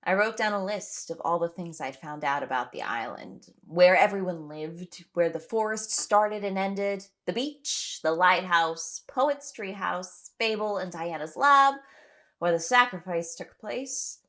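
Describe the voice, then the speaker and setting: Woman acting anxious, exhausted woman, slow-paced. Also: on the low side, fairly bright tone. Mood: tense, recounting. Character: anxious, exhausted young woman trapped on an island. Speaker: lead actor in an audio drama. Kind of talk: audio drama acting, first-person recollection and dialogue.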